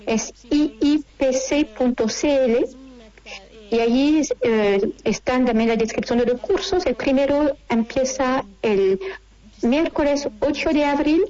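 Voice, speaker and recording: High-pitched, mellow woman with a French accent. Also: muffled recording. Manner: halting, searching for words with repetitions. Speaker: interview guest, course director. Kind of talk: conversational interview, explanatory.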